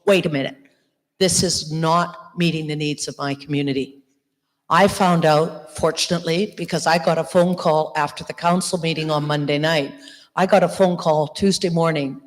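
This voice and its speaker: A woman candidate answering an audience question at a public meeting, speaking earnestly with some halting restarts. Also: low voice. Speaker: election candidate. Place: church hall. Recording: long reverb of a hall.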